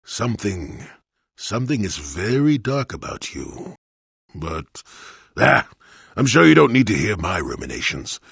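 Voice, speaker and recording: An actor playing a cantankerous, wry old male Jedi hermit in a video game, with a gravelly, husky voice and a grumbling, sardonic manner. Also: fairly low pitch, unhurried, dry recording, clean studio sound, exaggerated voice. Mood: wry, musing. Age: older. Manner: grumbling, sardonic, theatrically expressive. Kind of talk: video game character dialogue.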